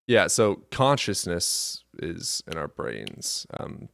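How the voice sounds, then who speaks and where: A man's voice: deep voice, wildly swooping pitch, slow pace, vocal fry, a bit bright. A man hosting a call-in debate show, asking callers direct questions. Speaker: host.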